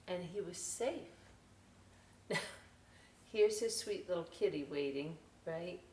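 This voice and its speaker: A woman presenting a children's story time, reading aloud with chatty, animated, folksy asides straight to young viewers. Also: on the low side. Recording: roomy, distant mic with faint hiss.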